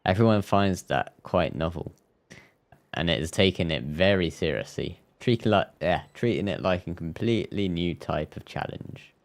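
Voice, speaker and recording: A man's voice: low voice, lively intonation, moderate pace. A man livestreaming a game, reading dialogue aloud and commenting jokingly, sometimes stumbling over the lines. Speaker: live streamer. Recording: game music behind.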